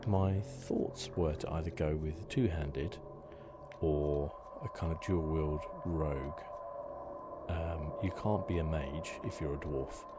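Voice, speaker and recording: A soft-spoken man giving hesitant, rambling ASMR commentary as host of a video game playthrough. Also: very deep voice, slow, loud music behind the voice.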